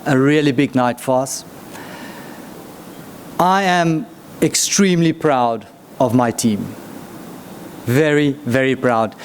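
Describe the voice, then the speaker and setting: A man's voice: medium pitch, a little hiss or hum. A man giving a proud, emphatic speech about his team at a launch event. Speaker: executive speaker.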